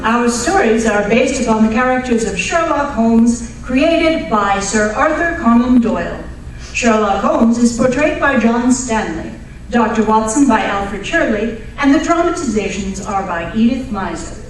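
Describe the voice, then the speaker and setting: A woman presents as an old-time radio announcer, measured and theatrical. Her voice is fairly high and unhurried. She sounds distant in a very echoey hall with faint noise. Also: expressive. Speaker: announcer of a live radio play re-creation.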